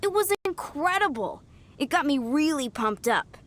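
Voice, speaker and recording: Eager young would-be knight, lively pitch. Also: female voice, normal speed. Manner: earnest, enthusiastic. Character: eager, modest young girl training to be a knight. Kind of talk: video game character voice acting.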